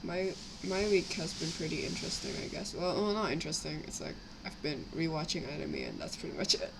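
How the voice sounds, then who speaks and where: A young woman with a slightly raspy voice from a cough, rambling casually as she chats on a live stream while building models. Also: low voice, fairly quick. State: sick with a cough, itchy throat. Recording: clear background noise, home livestream.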